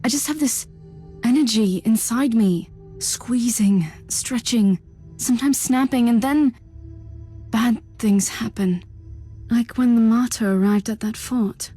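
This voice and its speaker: Husky, troubled young outcast. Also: female voice, fairly high pitch, takes it slowly, dark tone, faint music behind. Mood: haunted. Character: wary, troubled young female psychic outcast held captive. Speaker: game character. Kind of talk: dramatic video game cutscene acting.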